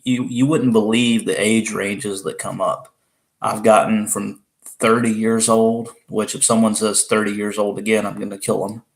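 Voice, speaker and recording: Deep, gravelly young Southern man. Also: level tone, normal speed, rough recording. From Alabama, US. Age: 20s. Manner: rambling, chatty, laughs often, restarts. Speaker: livestream host. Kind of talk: casual live chat with viewers.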